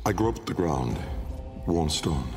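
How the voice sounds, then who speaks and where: Deep, slow, brooding older inventor. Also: male voice, lively intonation, creaky, a little mellow, full character voice. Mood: haunted, grave. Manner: grandiloquent, deliberate, pausing for weight. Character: brooding, haunted male aristocratic inventor recounting his memoir. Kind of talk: theatrical dramatic monologue narration. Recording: long cavernous reverb under music.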